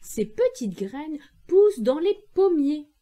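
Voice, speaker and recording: A woman plays a friendly talking tamarind tree from a children's storybook. The voice is high and swoops with enthusiasm. It explains things slowly and cheerfully to young listeners. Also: sing-song, mellow, full character voice. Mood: enthusiastic teaching.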